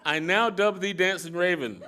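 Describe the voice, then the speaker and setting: A man's voice: high-pitched, lively intonation, moderate pace, bright, forceful tone, voice pushed up. A man voicing a brash, irritated young man who talks in an impatient, mocking way.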